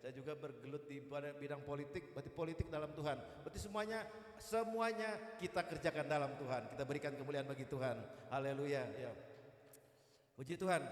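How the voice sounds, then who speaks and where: A man, a guest evangelist speaking to a church in a chatty, jocular sermon style. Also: medium pitch. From East Kalimantan, Indonesia. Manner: chatty and jocular, with repeated "gitu" tags. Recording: echoey hall, long reverb.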